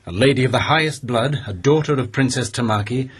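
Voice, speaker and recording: An actor playing a haughty, vindictive lord who speaks with contempt and menace. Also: male voice, mid-range voice, brisk, breathy edge, lightly acted. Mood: contemptuous. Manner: contemptuous, threatening. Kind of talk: radio drama acting.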